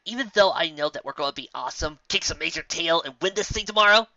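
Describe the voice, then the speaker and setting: A brash young pegasus mare, as a woman reader plays her, sporty and cocky. She talks casually in a forceful, animated storybook voice. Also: lowish voice, fast talker, no room sound, lo-fi sound, pitched above the performer's own voice. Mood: confident.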